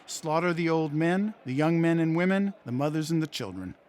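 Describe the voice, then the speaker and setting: An actor playing a folksy, cheerful, smug extremist militia leader in a satirical audio play, pitching his cause with glib, eager patter. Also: lively intonation, normal speed, darkish tone, a little hiss or hum, natural voice. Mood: smugly zealous.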